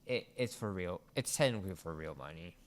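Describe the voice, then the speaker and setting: A man's voice: low voice, lively intonation, fairly quick, background noise. A man co-hosting a gaming show in a casual, conversational style.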